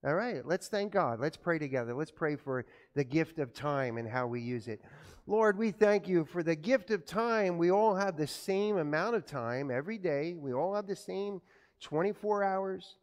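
Man, pastor, lively storytelling to kids, fairly high voice, echoey church from a distance. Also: normal speed. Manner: animated storytelling, asks children questions. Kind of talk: children's sermon. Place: church sanctuary.

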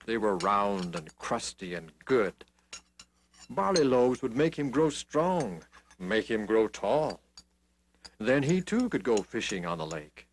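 A man narrating a children's Bible story in an expressive, deliberate manner. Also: fairly low pitch, slight background noise.

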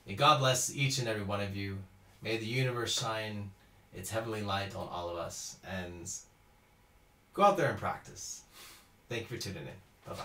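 A man in his thirties from Houston, Texas, teaching cello and chatting his way through a live-stream Q&A. His voice sits a little low, and he talks loosely, answering viewers and laughing easily. The small home room gives the sound a noticeable echo. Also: moderate pace, pushed and bright. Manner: chatty, reads out viewer comments, laughs often. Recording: roomy echo.

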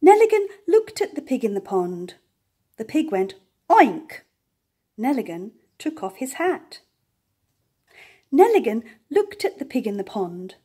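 An older woman reading a children's picture book aloud, with expressive emphasis and playful animal sounds. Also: high voice, slightly rough. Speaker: storyteller. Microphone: camera above the book on a table.

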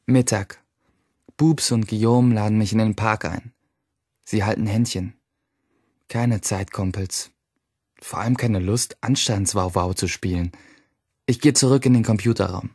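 An actor playing a secretive, restless teenage schoolboy, narrating in clipped sentences in a radio play. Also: male voice, lowish voice, measured pace, long pauses, a little mellow, husky, dry recording, studio quality. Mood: restless, dismissive. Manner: terse, clipped. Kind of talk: first-person radio drama narration.

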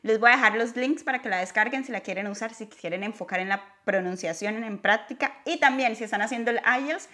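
A woman's voice: on the high side, quick pace, few pauses, sharp tone. A young woman chatting casually on a sofa, explaining a pronunciation app and her English mistakes, laughing easily. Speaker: vlogger. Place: living room sofa.

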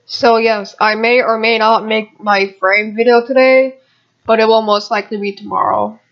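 A gamer talks casually over their own gameplay in a plain, mid-pitched voice. The commentary rambles, with muttered asides to the viewers. It sounds dull and lo-fi, like a home computer microphone. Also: level tone, moderate pace.

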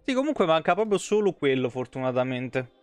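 Clear, higher-voiced man streaming a game. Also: big pitch swings, brisk, no echo, faint music behind. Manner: informal, foul-mouthed. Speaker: live streamer playing a video game. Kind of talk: casual gaming commentary with chat. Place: indoor home streaming setup. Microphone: close streaming microphone.

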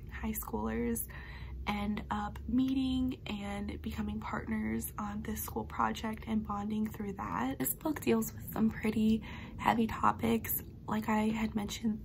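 A young woman in her twenties talks books in her bedroom, upbeat and rambling, her voice a touch hoarse. Her pitch sits fairly high, with a gravelly fry running through it. A faint hiss hangs behind her. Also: a little mellow, poor audio. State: slightly hoarse from a cough. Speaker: book vlogger. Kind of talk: casual book review chat. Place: bedroom, indoors. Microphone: webcam facing her at close range.

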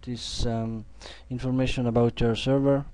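A young man with an Estonian accent, talking through a coding tutorial at his computer in a halting way, full of fillers and restarts. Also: medium pitch, flat delivery, darkish tone, clear, resonant tone, no echo. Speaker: programming tutor. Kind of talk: screen-recorded coding tutorial. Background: keyboard typing and clicks.

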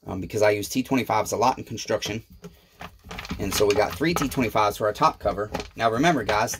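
A man's voice: lowish voice, noisy background. A man in his forties hosting a small engine repair vlog, chatting folksily with his viewers from a cluttered home workshop. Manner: folksy, talks straight to viewers as neighbors, frequent fillers. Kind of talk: casual rambling vlog to viewers.

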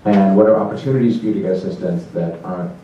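Very deep-voiced man, hesitant. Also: fast talker, slight background noise. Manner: hesitant, many ums and uhs. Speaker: audience member asking a question. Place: conference hall. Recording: dull, echoey hall audio from far off.

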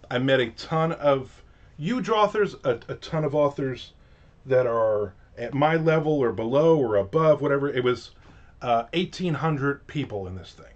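Middle-aged New Jersey man, casual chatty author livestream, home office, slightly muffled webcam sound. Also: medium pitch. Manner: rambling, chatty, lots of fillers and restarts. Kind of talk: casual chat. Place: home office with shelves of collectibles.

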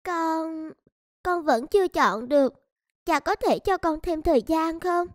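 A young fairy-tale princess in a cartoon. Her voice is high and slow, with the gentle, deferential manner of a dutiful daughter. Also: dry recording, clean studio sound. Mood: hesitant.

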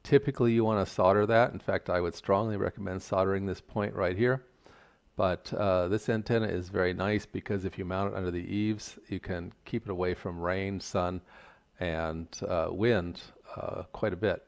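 An older man giving a measured, plain-spoken, step-by-step tutorial explanation. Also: low voice, steady tone, gravelly. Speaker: instructor. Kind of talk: explanatory tutorial narration over slides.

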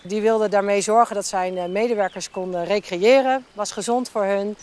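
A woman's voice: mid-range voice, normal speed, darkish tone, slight background noise. A woman who owns a hotel, welcoming guests and explaining things politely with frequent hesitations. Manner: polite and informative, with fillers. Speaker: hotel owner.

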